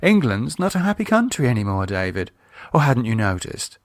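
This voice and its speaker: A man's voice: medium pitch, sing-song, normal speed, no room sound. Guy doing an english woman, confident teasing sister type, audiobook, dry close sound. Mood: breezy, nostalgic. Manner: breezy, teasing. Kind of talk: audiobook character acting.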